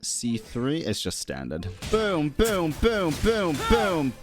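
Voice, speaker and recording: A man's voice: fairly high pitch, wildly swooping pitch, slow, over music. A man commentating casually on his live gameplay, slangy, joking and swearing freely. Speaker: game streamer.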